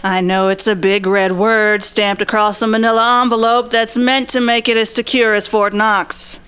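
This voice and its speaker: A woman's voice: on the high side, flat delivery, moderate pace, fairly bright tone, clear, resonant tone, close to an everyday voice. A woman playing a blunt, observant 1940s private eye in a noir radio drama, talking tough, plain slang. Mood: probing, blunt. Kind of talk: noir radio drama acting with inner monologue.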